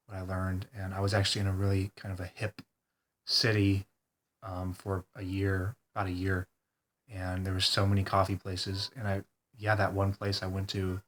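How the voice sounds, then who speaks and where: A congested man talking hesitantly in a rambling personal video diary, full of pauses and ums. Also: low-pitched, fairly bright tone, a little hiss or hum. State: congested, stuffed-up nose. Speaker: vlogger.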